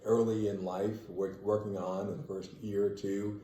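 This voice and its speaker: A man with a very low, mellow voice speaks in an even, measured way as he explains his views to an interviewer. His voice carries some room echo, with a faint hiss of noise underneath. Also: faint music behind. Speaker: interview guest, parent. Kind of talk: conversational interview answers.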